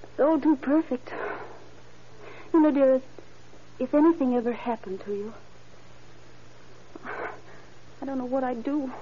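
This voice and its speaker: A woman's voice: sits high, fairly even intonation, moderate pace, natural voice. An actress playing a doting, anxious young woman in a classic radio drama, with a tender, earnest delivery. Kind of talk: 1940s-style radio drama acting. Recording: muffled, very noisy old radio recording.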